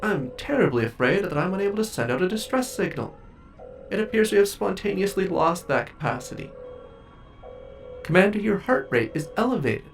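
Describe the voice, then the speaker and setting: A man's voice: high-pitched, sing-song, brisk, vocal fry, distant mic, full character voice. A man playing an obsessive, possessive ship AI in a scripted ASMR roleplay, speaking in formal, precise, coldly polite phrases. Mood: feigned alarm. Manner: formal, precise, clinical wording. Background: music.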